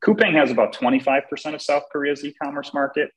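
A man's voice: a little high, steady tone, fast, rough recording. A man co-hosting a casual business discussion, thoughtful and hesitant, with frequent fillers.

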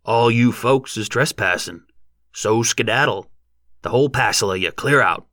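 A man voicing a gruff, irate, shrewd rural American farmer in an audiobook, growling in folksy dialect. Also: fairly low pitch, measured pace, bright, forceful tone, no room sound, studio quality. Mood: bossy, firm.

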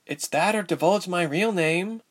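Man voicing a firm, higher-pitched young woman. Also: a little slow. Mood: wry, guarded. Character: tough, guarded young woman hostage, firm and wary.

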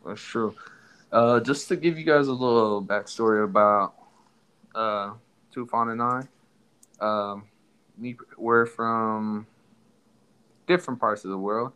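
A man's voice: on the low side, slow, pauses often, fairly bright tone, smooth, rough recording. A young man from Somalia hosting a casual podcast, loose and joking with his co-host.

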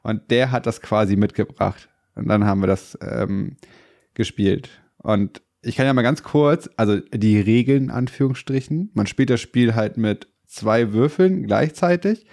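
A man's voice: medium pitch, a little slow, creaky. A man who is slightly stuffed up from a cold, chatting casually and rambling as a podcast co-host. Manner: relaxed, rambling storyteller. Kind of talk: casual chat.

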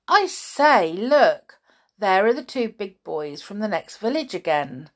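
A woman's voice: mid-range voice, sing-song, takes it slowly. A woman voicing a bold, earnest village boy in a children's story, exclamatory and easily riled. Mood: excited, warning. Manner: exclamatory, earnest, old-fashioned British children's phrasing.

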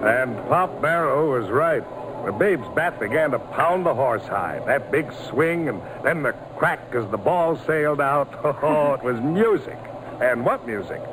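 A man's voice: medium pitch, expressive, measured pace, close to an everyday voice. An actor playing a folksy, enthusiastic father telling baseball tales to his son in an old-time radio drama. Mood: nostalgic, delighted. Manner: folksy, relishing. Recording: noisy old radio recording.

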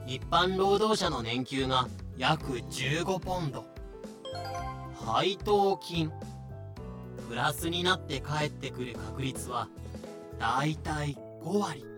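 A man narrating a fan-made voice drama in a measured, explanatory way. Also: on the high side, lively intonation, over music.

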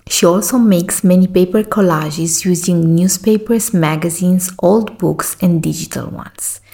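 A woman's voice: lowish voice, gravelly, dark tone. A woman hosting a podcast, reading a scripted introduction and asking enthusiastic, earnest questions. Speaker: podcast host.

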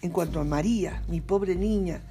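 A woman's voice: lowish voice, gravelly, dark tone, faint music behind. A woman reading as a noble-born interpreter. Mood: solemn. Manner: measured first-person reading.

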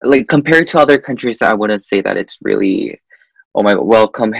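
A young man from Guatemala speaks as an interview guest, often pausing on "eh" as he thinks. His voice sits a little low, and the recording is dry and close, with no room sound. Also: vocal fry, slightly rough, dry recording. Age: young adult. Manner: hesitant, frequent "eh" fillers, animated. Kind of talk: casual interview chat.

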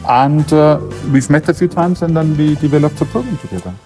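A man's voice: fairly high pitch, brisk, mellow, soft background music. A man from Germany with a German accent, a classical singer chatting as a podcast guest with dry humor. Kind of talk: casual interview chat.

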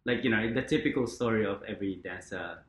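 A young man who grew up in the Philippines, a dancer chatting as a podcast guest, relaxed and rambling with lots of fillers. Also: lowish voice, fast talker. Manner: relaxed, rambling, many fillers and quoted asides. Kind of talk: casual interview chat. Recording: roomy echo.

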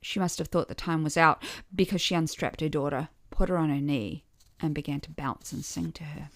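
A woman's voice: low-pitched, a little fast, no room sound. A middle-aged woman reading a scripted first-person story with wry, dry humour. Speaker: podcast author and narrator.

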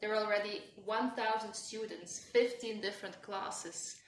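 A woman's voice: medium pitch, normal speed, roomy sound. A young woman from France, a podcast guest talking casually and hesitantly, with many fillers. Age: young adult. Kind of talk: casual conversation.

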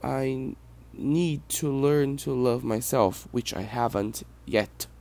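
A Brazilian man who speaks English as a second language, with a Brazilian Portuguese accent, hosting a personal podcast in a reflective, halting way. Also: mid-range voice, creaky, a little mellow. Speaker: podcast host.

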